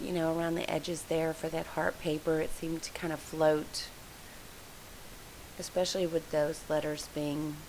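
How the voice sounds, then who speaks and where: A woman's voice: sits low, a little mellow, slight background noise, rough recording. A woman with a froggy, congested voice from allergies, chatting in a rambling, apologetic way as she narrates her scrapbooking process from her home craft room. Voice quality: froggy, gravelly voice. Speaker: scrapbooking video host.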